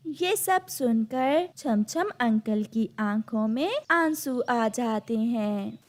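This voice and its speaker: A woman's voice: a little high, expressive, slow pace. A woman narrating a scripted moral story for a cartoon.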